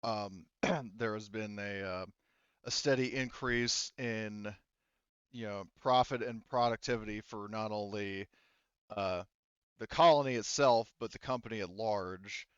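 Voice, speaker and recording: A man playing a guarded, evasive corporate department head in a roleplaying game, speaking in a formal, measured manner. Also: fairly low pitch, smooth, dry recording, muffled recording, lightly acted.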